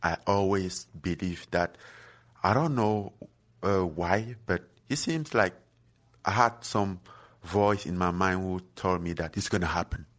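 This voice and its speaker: Deep-voiced man from Cameroon, earnest. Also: fairly bright tone. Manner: halting, many fillers and restarts, earnest. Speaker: guest fighter. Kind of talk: casual interview chat.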